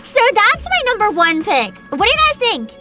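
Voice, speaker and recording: A woman hosting a livestream, chatting casually with viewers in an excitable, bubbly, giggly manner. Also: extremely high pitch, normal speed, music in the background. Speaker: livestreamer host.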